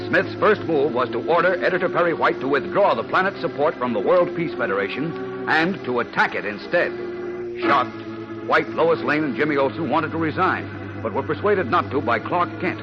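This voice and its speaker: A man narrating a 1940s radio serial in a dramatic, declamatory announcer's style. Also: fairly high pitch. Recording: dull old radio broadcast recording. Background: music.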